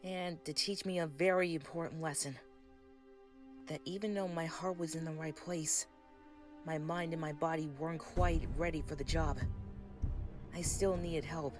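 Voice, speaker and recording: A man voicing a kindly, patient older grandmother in a comic dub, speaking in a gentle, folksy, reassuring way. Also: fairly high pitch, steady tone, normal speed, natural voice. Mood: storytelling. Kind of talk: scripted comic dub dialogue and storytelling. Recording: loud background music under voice. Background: film soundtrack music.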